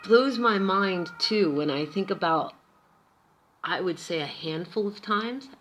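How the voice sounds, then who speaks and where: A woman's voice: medium pitch, slow pace, soft background music, poor audio. An American woman from Pennsylvania, talking to camera in a chatty, emphatic vlog about her experiences abroad. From Pennsylvania, USA. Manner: chatty and emphatic, with lively asides. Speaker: vlogger. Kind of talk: casual storytelling monologue.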